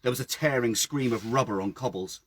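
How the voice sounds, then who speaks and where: Male author-narrator: husky, mid-pitched, dramatic live story reading outdoors. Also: fairly quick. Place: outdoors by a well.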